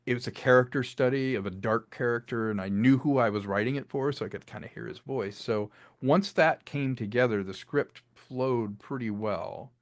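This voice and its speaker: A man with a mid-pitched voice speaks in a dry, close sound. He is an interviewed creative who explains his craft conversationally, in an expansive and reflective storyteller's manner. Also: normal speed, hardly any pauses, no room sound. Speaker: filmmaker and audio drama writer being interviewed.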